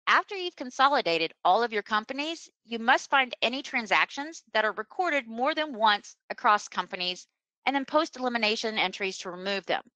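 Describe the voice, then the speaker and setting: A woman presenting a webinar as a software trainer, explaining a screen demonstration step by step in an unscripted way. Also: fairly high pitch, lively intonation, moderate pace, no echo, low-quality recording. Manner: instructional, step-by-step.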